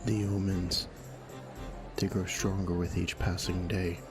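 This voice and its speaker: Nervous male scholar, played by a man for fantasy audio drama: low, narrow-ranged voice, anxious and ominous, music behind. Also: level tone, measured pace. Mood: fearful foreboding.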